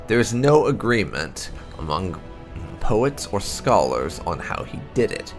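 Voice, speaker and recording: A man reading fantasy lore aloud as expressive scripted narration, measured and deliberate with dramatic emphasis. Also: lowish voice. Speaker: host of a game playthrough channel reading lore entries aloud. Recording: music behind the voice.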